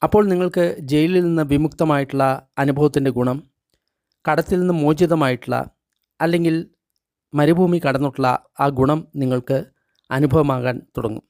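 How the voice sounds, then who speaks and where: Malayali guy reading out meditation instructions, bit higher voice, short phrases, pretty dry sound. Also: steady tone, normal speed, long pauses, darkish tone, dry recording. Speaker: meditation guide reading a translated book. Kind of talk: guided meditation instruction, read and translated aloud.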